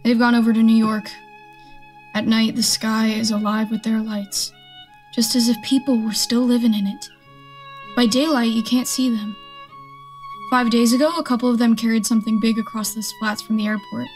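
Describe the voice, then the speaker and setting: A woman's voice: on the high side, flat delivery, moderate pace, darkish tone, soft background music, lightly acted. A woman playing a defiant, survival-minded militia stranger in a radio play, talking in a blunt, folksy, speechifying way. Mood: grim, resigned. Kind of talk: radio drama acting.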